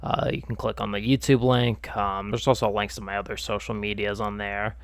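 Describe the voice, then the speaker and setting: A man's voice: fairly low pitch, level tone, moderate pace, smooth, no room sound. A man streaming a video game, chatting casually and rambling with lots of fillers, stuffed up and nasal from a cold. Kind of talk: casual gaming stream chat.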